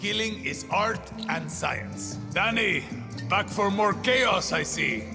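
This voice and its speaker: A man playing a cocky, cynical old guerrilla weapons maker in a video game, with a gravelly voice and a sardonic, swaggering, drawn-out delivery. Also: sits high, lively intonation. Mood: sardonic, amused. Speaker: arms supplier and mentor. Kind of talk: video game character acting. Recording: long reverb, music behind. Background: music.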